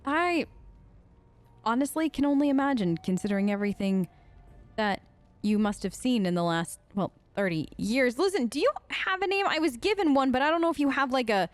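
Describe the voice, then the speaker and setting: Gamer girl doing roleplay lets play, higher voice, chatty n curious, bit unsure. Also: animated, a little fast, dry recording, faint music behind. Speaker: gaming streamer. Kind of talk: role-play let's play commentary.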